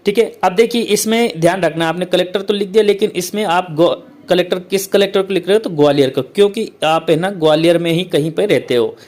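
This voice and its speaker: A male teacher who explains a letter format step by step, repeats points often and reads English lines out slowly. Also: sits high, darkish tone. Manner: repetitive, keeps saying "okay, friends".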